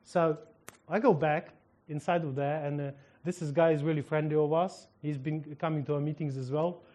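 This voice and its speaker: A minister with a Ukrainian accent shares a testimony from the pulpit. His voice sits a little high. He tells it like a lively story, acting out each exchange and often breaking into laughter. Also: normal speed.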